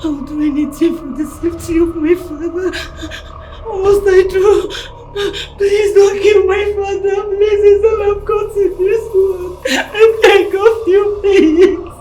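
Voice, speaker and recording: A woman's voice: very high voice, steady tone, a little slow, warm tone, reverberant, slight background noise, low-quality recording. An actress playing a desperate young woman begging for her father's life, tearful and pleading.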